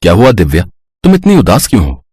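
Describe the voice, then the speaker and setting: Guy doing mild family man in a moral story, husky, swingy exclaiming delivery, lowish voice. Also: huge pitch swings, fast, warm tone, dry recording, clean studio sound, full character voice. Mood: concerned. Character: male relatives in a family story, mild and admiring.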